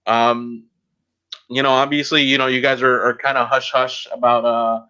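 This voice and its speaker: A man hosting a podcast in casual chat, talking in a halting, rambling way. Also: medium pitch, level tone, pushed and bright, clean tone, muffled recording. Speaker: podcast host.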